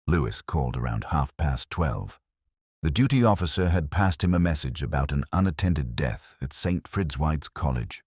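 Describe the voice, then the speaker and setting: An extremely deep male voice narrates a reflective mystery in scripted first person. The delivery is measured and melancholy, yet the pitch moves expressively through each phrase. The sound is dry, with no room around it. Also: no room sound.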